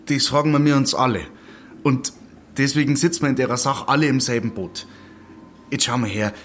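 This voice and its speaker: A man's voice: mid-range voice, a bit bright, a little hiss or hum, natural voice. A man playing a scheming, patronising village dignitary in an audio drama, hedging and cajoling in Bavarian dialect.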